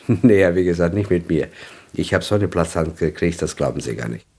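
A man's voice: low voice, expressive, normal speed, a little mellow, husky. A man in his seventies from Sicily, a native Italian speaker with an Italian accent, recalling his past in an interview at home with hesitant, searching phrasing. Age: 70s. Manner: hesitant, with fillers and searching for words. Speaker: interviewee, former guest worker. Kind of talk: reminiscing in an interview.

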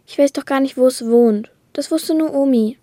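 An earnest little girl in a radio play, acted by a woman. Her voice is high and mellow, recorded very dry, and she talks with naive, blunt curiosity. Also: normal speed, no echo, natural voice. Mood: sulky, puzzled. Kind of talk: German radio play acting.

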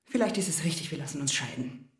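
Low-voiced wounded, proud wife. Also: female voice, expressive, fast, echoey room. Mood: bitter, resigned. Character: wounded, proud wife confronting her husband and lover. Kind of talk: German radio drama dialogue.

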